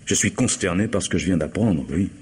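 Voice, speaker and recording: A man's voice: sits low, a little fast, close to an everyday voice. An actor playing a stern, agitated middle-aged man secretly in love, earnest and emphatic, in a French radio play. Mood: indignant. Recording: noisy old radio drama recording.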